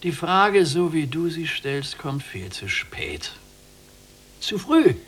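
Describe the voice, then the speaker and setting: A world-weary old prisoner, swooping intonation. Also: male voice, on the high side, big pitch swings, takes it slowly, a bit bright, husky, exaggerated voice. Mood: cryptic, weary. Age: older. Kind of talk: literary radio play acting.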